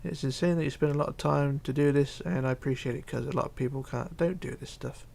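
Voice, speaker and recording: A man hosting a casual livestream, reading chat aloud and chatting in a rambling, halting way. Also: medium pitch, steady tone, vocal fry, a little mellow. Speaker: Minecraft livestreamer.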